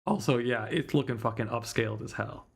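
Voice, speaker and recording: A man's voice: mid-range voice, steady tone, brisk, vocal fry. A man giving casual live game commentary, rambling and thinking aloud. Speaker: streamer. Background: game music.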